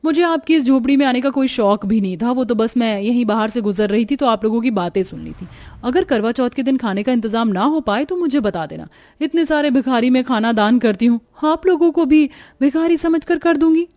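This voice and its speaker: A woman's voice: on the high side, lively intonation, brisk, a little mellow, close to an everyday voice. A woman voicing a haughty, spiteful rich young daughter-in-law in a cartoon story, taunting and condescending. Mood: scornful.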